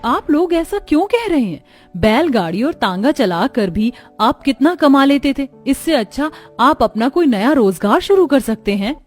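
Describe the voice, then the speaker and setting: Actress as kind young cartoon daughter-in-law, high voice, reassuring, explanatory, music behind. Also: female voice, very animated, moderate pace. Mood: persuasive. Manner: patient, explanatory, encouraging.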